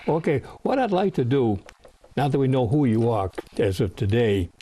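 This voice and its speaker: A man's voice: mid-range voice, big pitch swings, moderate pace, mellow, a little hiss or hum. An older American man hosting a television interview in a folksy, encouraging way that mixes formal phrasing with slang. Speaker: host and producer of a veterans interview show.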